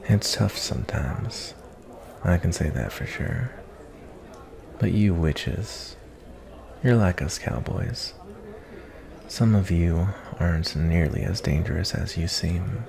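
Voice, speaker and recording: Man playing a deep, slow, gravelly cowboy bounty hunter. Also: lively intonation, a crowd in the background, full character voice. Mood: reflective. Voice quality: low, gravelly. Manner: folksy, rambling, kindly drawl with cowboy turns of phrase. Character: gentle, reflective male cowboy bounty hunter in a fantasy tavern. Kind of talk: ASMR roleplay, softly spoken to the listener.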